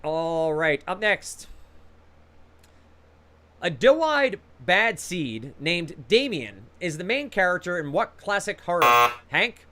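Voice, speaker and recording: A man's voice: sits high, very animated, takes it slowly, sharp tone. A man hosting a trivia quiz over a video call, reading out questions with casual, encouraging banter between them.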